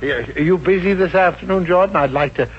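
Man acting irascible American businessman, blustering, stammers when flustered, highish voice, 1950s radio comedy, muffled noisy recording. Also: fast talker, natural voice. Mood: eager, pleased. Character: blustery, short-tempered, penny-pinching businessman obsessed with golf.

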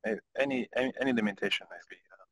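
A man's voice: on the low side, fast talker, a bit bright, breathy edge, low-quality recording. A man speaking as a student, putting a question in an online class over a video call.

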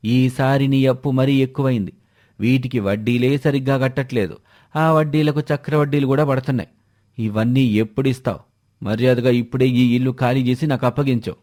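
A man's voice: medium pitch, fairly even intonation, brisk, darkish tone, natural voice. A man voicing a blunt village moneylender who scolds and demands repayment. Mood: scolding.

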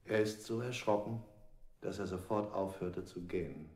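A man's voice: low-pitched, normal speed, lightly acted. An actor playing a callous interrogation henchman in a 1960s experimental radio play, reporting in formal, matter-of-fact sentences. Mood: clinical. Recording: echoey room, faint noise.

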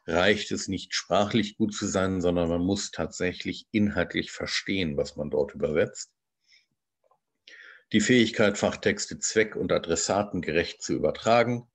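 A bilingual man, a translator, giving an online lecture over slides in a measured, explanatory way, with frequent hesitations. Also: low-pitched, slightly rough. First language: bilingual German and English.

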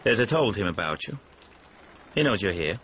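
A worldly male gossip in a radio drama. His voice is lowish and swings expressively. The sound is slightly dull, with faint noise behind. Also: big pitch swings, moderate pace, fairly bright tone. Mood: conspiratorial.

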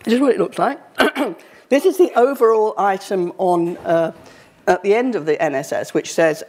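A woman, giving a university public lecture in a conversational, wry way, her voice slightly croaky. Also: mid-range voice, normal speed, darkish tone. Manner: conversational, wry, with frequent fillers. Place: university lecture hall, indoors.

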